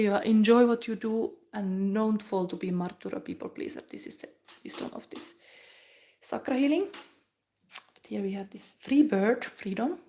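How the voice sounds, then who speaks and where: A woman from Finland with a Finnish accent, giving a rambling, musing tarot reading in English that often drops to a soft whisper. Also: mid-range voice, a little hiss or hum. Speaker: tarot reader. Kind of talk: live pick-a-pile tarot reading chat.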